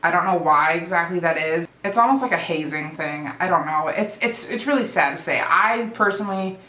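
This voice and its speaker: A young woman talking casually to camera as a vlogger, chatty and relaxed with fillers and restarts. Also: fairly low pitch, fairly quick. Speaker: vlogger and nursing aide. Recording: echoey room.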